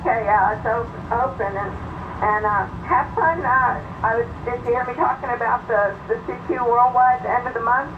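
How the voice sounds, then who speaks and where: Female ham operator, fairly high voice, narrow noisy radio band, casual on-air contact style. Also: moderate pace. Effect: shortwave single-sideband radio. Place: heard through a radio receiver. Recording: thin, noisy, distant shortwave radio reception.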